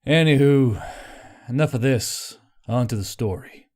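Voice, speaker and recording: A man's voice: mid-range voice, unhurried, a little mellow. A man hosting a channel, rambling casually through sponsor plugs with informal, self-deprecating asides. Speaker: channel host.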